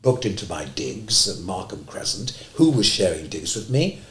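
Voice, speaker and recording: A man telling a lively anecdote in an interview, acting out the quoted lines. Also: sits low, moderate pace, reverberant. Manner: lively storyteller, acts out quotes. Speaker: interviewee, panto actor.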